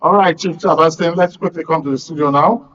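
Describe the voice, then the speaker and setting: A man's voice: on the high side, a little fast, a bit bright, highs cut off. A man with a Nigerian accent hosting and moderating a current affairs panel on a broadcast talk show. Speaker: host of a radio and TV talk show.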